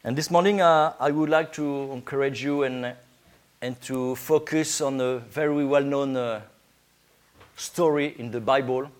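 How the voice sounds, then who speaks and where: A man with a French accent, a native French speaker, preaching informally in halting English as a guest preacher. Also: medium pitch, steady tone. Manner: halting, searching for words in English. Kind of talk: informal sermon to a congregation.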